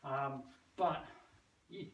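A middle-aged male martial arts teacher demonstrating as he talks, casual and playful, punching out moves with sound words. He is picked up by a camera some way off on a covered veranda, with roomy echo and clear background noise. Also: mid-range voice, very slow, muffled recording. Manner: physically active while talking. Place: covered brick veranda outdoors. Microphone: camera several metres away. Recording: distant camera mic, roomy, noisy.

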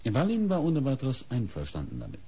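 An actor playing a coolly suspicious police inspector in a German radio crime play, questioning in a measured, deliberate way with pointed pauses. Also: male voice, medium pitch, huge pitch swings, mellow, slightly rough, exaggerated voice. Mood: coolly probing.

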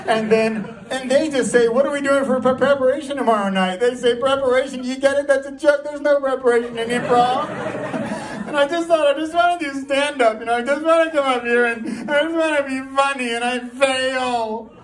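A man performing a stand-up comedy set, telling a story in an animated way and throwing rhetorical questions at the audience. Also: very high voice, brisk. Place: live comedy show before an audience. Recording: live room, distant mic, audience noise. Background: audience crowd and laughter.

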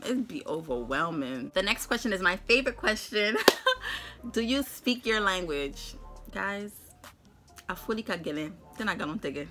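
Young New woman, bright and playful, casual slang, talking straight to camera in her bedroom. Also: medium pitch. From Brooklyn, New York. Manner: playful, laughs often, slangy. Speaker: vlogger. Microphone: camera in front of her.

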